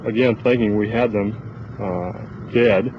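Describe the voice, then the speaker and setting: A man with a lowish voice gives an unscripted account in a halting, matter-of-fact way. He is recorded outdoors on old newsreel sound that is dull and clearly noisy. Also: fairly even intonation.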